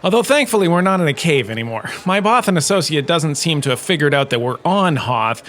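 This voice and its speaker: An actor playing a vain, self-important professor and holodrama star, giving a wry, chatty comic lecture. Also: male voice, a little high, sing-song, fairly quick, a bit bright, slight background noise.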